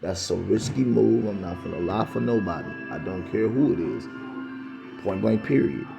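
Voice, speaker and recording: A man's voice: lowish voice, lively intonation, normal speed, vocal fry, over music. A man talking straight to camera in a rambling, insistent monologue, repeating himself for emphasis. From United States. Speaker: vlogger.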